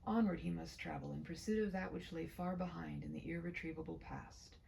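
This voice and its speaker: A woman reading her own short story aloud in a hushed, deliberate way, often slipping into whispers, with slow phrasing and dramatic pauses. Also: sits low, fairly even intonation, creaky, a little hiss or hum. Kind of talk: read-aloud of a literary short story, soft and ASMR-leaning.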